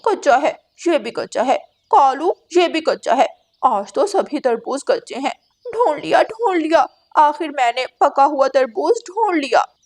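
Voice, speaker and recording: A woman's voice: high-pitched, animated, unhurried, a little mellow. A woman voicing a greedy, picky female crow in a cartoon, with a brash delivery. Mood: picky, excited.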